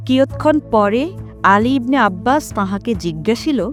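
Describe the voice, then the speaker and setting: A woman narrating an audio drama from a script in formal, measured literary language. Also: fairly high pitch, lively intonation. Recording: music behind the voice.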